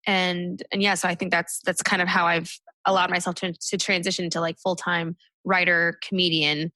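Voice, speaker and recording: A woman's voice: on the low side, level tone, moderate pace, sharp tone, no room sound. A woman from the United States, a stand-up comic guest, talking in a casual interview, chatty and full of "like" and "you know" fillers.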